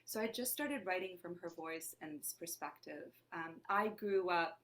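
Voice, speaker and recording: A woman's voice: fairly low pitch, lively intonation, moderate pace, fairly bright tone. Thoughtful woman from Lebanon, Armenian native. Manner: thoughtful, searching, asks herself questions aloud. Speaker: actor and playwright guest. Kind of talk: conversational interview.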